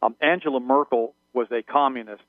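A man's voice: medium pitch, fairly quick, dry recording. A man whose first language is German, a guest on a radio call-in interview, rambling and often repeating words and restarting. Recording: tinny phone line, dry.

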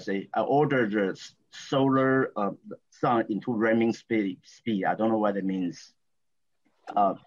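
A man from Taiwan with a Taiwanese accent, a guest talking in a halting, rambling way with lots of fillers and restarts. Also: mid-range voice. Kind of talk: casual interview talk. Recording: dull lo-fi audio.

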